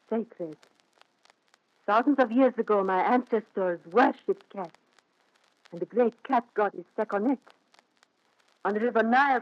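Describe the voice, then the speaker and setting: An actress playing a seductive, possessive, jealous wife from Egypt in a 1940s radio thriller, speaking with theatrical intensity. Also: female voice, a little high, dry recording, close to an everyday voice. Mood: reverent. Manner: theatrical, intense, endearment-laden. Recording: dull, band-limited 1940s radio recording.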